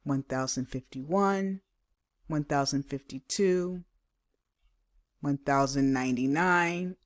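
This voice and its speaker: A woman's voice: low voice, takes it slowly. A woman voicing a dramatic, strong-willed little schoolgirl from a storybook, with emphatic delivery. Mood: sulky.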